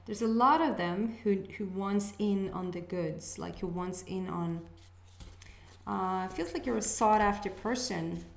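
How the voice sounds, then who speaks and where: A woman's voice: medium pitch, gravelly. Hesitant Swedish woman in an echoey room. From Sweden. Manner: hesitant, musing, pausing to sense messages. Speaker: astrology reader, channel host. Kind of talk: casual intuitive reading to camera.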